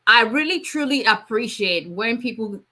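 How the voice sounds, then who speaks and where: Woman immigration attorney, bright voice, casual live Q&A. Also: on the high side, lively intonation, normal speed. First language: Yoruba. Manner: conversational, many fillers, reads out viewer questions. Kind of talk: live Q&A stream.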